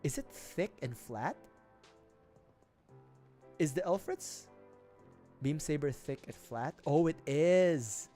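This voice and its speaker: A man's voice: fairly high pitch, animated, slow, pauses often, dark tone. A young man with a Filipino accent, chatting casually on his livestream in rambling, giggly asides. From Philippines. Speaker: streamer. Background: faint music.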